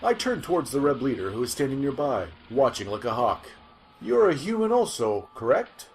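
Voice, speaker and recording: A man acts out an excitable field reporter in a comic sci-fi radio play. He is clueless and pushy, with a mid-range voice that swings up and down. Some noise sits behind him. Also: mid-range voice, normal speed. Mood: curious. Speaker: news reporter interviewer. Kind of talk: amateur comic audio drama narration.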